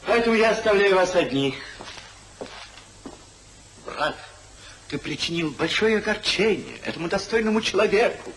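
A rakish young gentleman in a vintage radio comedy, theatrical and full of teasing irony. His high voice moves in lively swings. The old recording carries a noticeable hiss. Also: normal speed, long pauses, rough recording. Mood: mocking, triumphant. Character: rakish, mocking young gentleman, witty carefree brother. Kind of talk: 1950s radio stage-comedy acting. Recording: hissy 1950s radio play recording.

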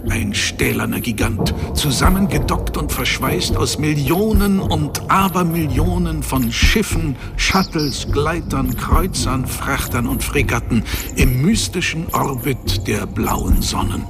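An actor playing an awed, solemn narrator in a science fiction radio drama, speaking poetically. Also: male voice, medium pitch, expressive, takes it slowly, gravelly, music in the background. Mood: solemn awe. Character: awed male narrator of a vast space colossus.

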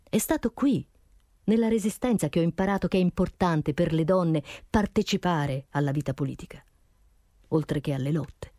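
A woman's voice: fairly low pitch, mellow, no room sound, studio quality, lightly acted. A woman playing a reflective Resistance veteran who gives earnest testimony in a stage monologue.

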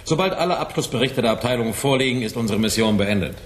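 Actor as a domineering, gruff military commander. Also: male voice, medium pitch, expressive, fast, natural voice. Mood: overbearing. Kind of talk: 1980s German radio drama acting.

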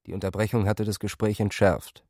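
A man's voice: lowish voice, level tone, fairly quick, darkish tone, no room sound, clean studio sound. A man reading scripted literary narration in a radio drama. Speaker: narrator and character reader.

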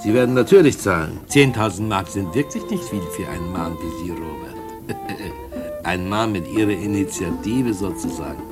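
Radio drama villain: low-pitched, smug, cajoling blackmailer with oily politeness, instrumental music underneath. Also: male voice, expressive, normal speed. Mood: mocking, threatening. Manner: smug, cajoling, mock-courteous, chuckling. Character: sly sinister male blackmailing drug dealer, mockingly polite. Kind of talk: mid-century German radio drama acting.

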